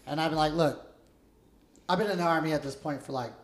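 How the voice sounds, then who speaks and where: A man with a loud, carrying voice, hosting a casual podcast and telling military stories in blunt, profane slang. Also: fairly high pitch, fast, echoey room. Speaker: podcast host interviewing a fellow veteran.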